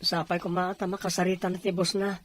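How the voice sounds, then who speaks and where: Husky, earnest married man, acted out. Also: mid-range voice, little pitch movement, fast talker, fairly bright tone, lightly acted. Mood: worried. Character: troubled married working man, earnest family father. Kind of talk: dramatized audio story acting.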